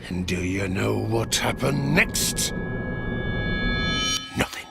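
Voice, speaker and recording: Gravelly old storyteller, swooping pitch. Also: male voice, animated, over loud music, heavily acted. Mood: hushed, suspenseful. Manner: theatrical, gruff, suspenseful storytelling with dramatic pauses. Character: grizzled old sailor telling children dark bedtime tales. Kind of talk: audio drama monologue.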